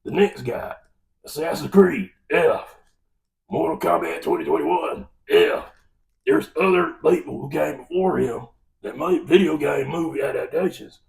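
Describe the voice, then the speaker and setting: Gravelly American man ranting, distant from mic. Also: medium pitch. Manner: exasperated, emphatic, folksy. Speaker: film review vlogger. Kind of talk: opinionated movie rant to camera.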